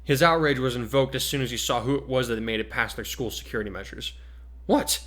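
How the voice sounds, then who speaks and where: A man with a medium-pitched voice reads fiction aloud. His delivery is dramatic, scripted third-person narration. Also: quick pace, fairly bright tone. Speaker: fan fiction reader.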